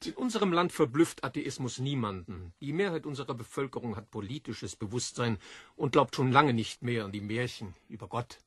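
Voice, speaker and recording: Learned literary editor: assured, lecturing, husky mid voice, audio drama. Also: male voice, mid-range voice, expressive, a little fast, dry recording, clean studio sound.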